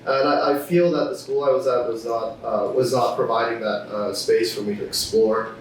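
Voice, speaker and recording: A man's voice: medium pitch, fairly even intonation, moderate pace, a little hiss or hum. A young man from Thailand, a student guest on a podcast, talking casually with many fillers and restarts. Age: young adult. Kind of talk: casual interview chat. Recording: echoey room, distant from mic.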